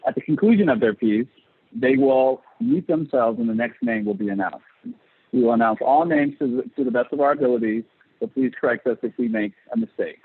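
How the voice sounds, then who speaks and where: A husky-voiced man of medium pitch introduces an event from a script. He sounds thin and narrow, as if through a phone or video call. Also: a little fast. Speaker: event host, marketing manager.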